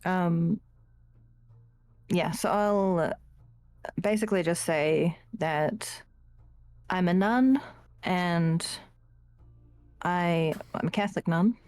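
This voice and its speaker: A woman playing a tabletop roleplaying game, describing her character's actions with hesitant pauses. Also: on the low side, a little mellow, clean tone. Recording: music playing behind the voice. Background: music.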